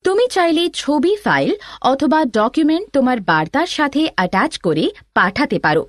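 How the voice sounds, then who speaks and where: A woman with a high voice and animated intonation narrates a scripted tutorial. She explains step by step as an instructor, in a dry recording free of room sound. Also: normal speed, no echo.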